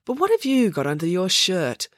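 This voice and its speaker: A woman's voice: fairly low pitch, huge pitch swings, normal speed, no echo, studio quality, voice pushed up. A woman voicing a cheeky, forthright fifteen-year-old schoolgirl in a storybook, breezy and unabashed.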